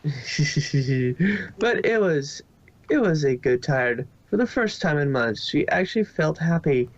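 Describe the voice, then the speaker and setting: A man's voice: a little high, animated, takes it slowly. A man narrating a story from a script, now and then stumbling over a word and repeating it. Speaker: fan fiction reader and narrator. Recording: dull lo-fi home recording.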